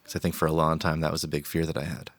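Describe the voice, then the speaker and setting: A man's voice: very deep voice, level tone, rapid-fire, no room sound. A man in a casual podcast panel, reflecting aloud on socializing and drinking, with frequent restarts. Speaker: podcast guest.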